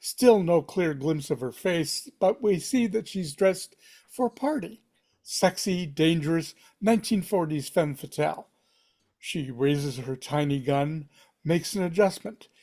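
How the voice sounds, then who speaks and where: A man's voice: on the high side, lively intonation, measured pace, warm tone. A man reading screenplay stage directions aloud at a table read, in punchy, dramatic fragments.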